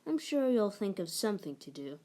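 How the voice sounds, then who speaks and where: A woman voicing a gentle, affectionate mother in a children's story, speaking in a soothing, reassuring way. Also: mid-range voice, big pitch swings, a little fast, lightly acted.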